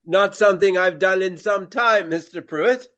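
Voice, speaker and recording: An actor playing a flamboyant, vain Regency English stage actor with pompous, formal old-fashioned speech in a radio play. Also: male voice, high-pitched, moderate pace, fairly bright tone, muffled recording. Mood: wistful, courteous.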